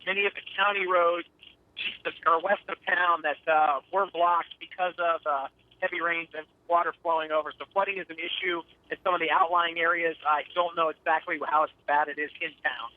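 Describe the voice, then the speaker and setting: Male storm chaser, muffled telephone line, slightly high voice, hesitant matter-of-fact eyewitness report. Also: steady tone, fairly quick, no room sound. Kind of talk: live eyewitness phone report. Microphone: phone call.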